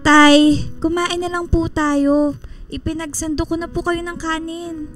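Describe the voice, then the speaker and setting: An actress playing a meek, dutiful young daughter in a radio drama, speaking politely and timidly. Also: female voice, very high voice, little pitch movement, measured pace, faint music behind. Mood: timid, pleading.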